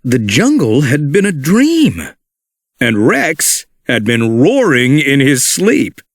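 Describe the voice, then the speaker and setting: A man reading a children's storybook aloud in an animated, expressive way. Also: medium pitch, slow pace, dry recording, studio quality. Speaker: storybook narrator. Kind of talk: read-along storybook narration.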